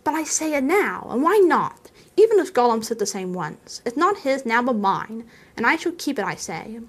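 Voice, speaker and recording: A woman's voice: fairly high pitch, animated, brisk. A woman voicing an elderly male hobbit, stubborn, touchy and possessive, in an emphatic, testy storybook reading. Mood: angry, possessive. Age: older.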